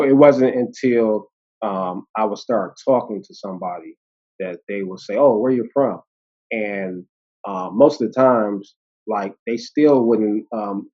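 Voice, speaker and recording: Low-voiced American man in his fifties. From United States. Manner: animated, laughing, acting out quoted voices, many fillers and restarts. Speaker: interview guest. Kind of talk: casual storytelling conversation. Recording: dull lo-fi audio.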